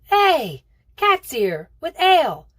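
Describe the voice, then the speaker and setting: Storybook role: excitable young lad, read by a woman, high and shouting with boisterous energy. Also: slow pace, dry recording, studio quality, heavily acted. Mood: excited, boisterous. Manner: shouting, exclamatory.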